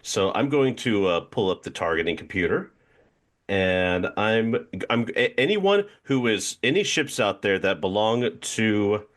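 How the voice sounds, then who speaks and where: A man playing a tabletop role-playing game, improvising in casual chat and thinking aloud in a playful, halting way. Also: fairly low pitch, lively intonation, a bit bright.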